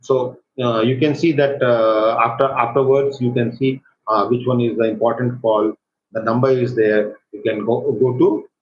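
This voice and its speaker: A man with an Indian accent gives an informal live software tutorial as an online instructor, speaking in a halting, hesitant way. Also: medium pitch, fairly even intonation. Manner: halting, hesitant delivery with repeated check words. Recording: roomy echo, dull lo-fi microphone, faint noise.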